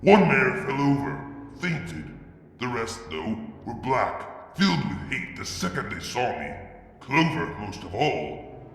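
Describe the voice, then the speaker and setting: A man reading fan fiction aloud, narrating in the first person with brooding, deliberate delivery and sudden drops to a hush. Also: mid-range voice, very animated. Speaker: fanfiction reader. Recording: reverberant room with long echo.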